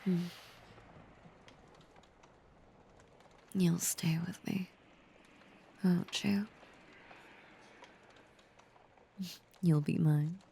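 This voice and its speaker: A woman voicing a possessive, obsessively loving female werewolf in an intimate, breathy, softly menacing ASMR roleplay. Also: low-pitched, full character voice. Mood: hushed, possessive. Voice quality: breathy, whispery.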